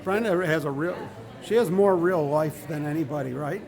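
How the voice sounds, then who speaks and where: A man hosting a live-streamed show, a little hoarse, chatting casually in a rambling, joking way with halting restarts. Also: fairly high pitch, crowd noise. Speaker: show host. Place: large indoor hall with a crowd.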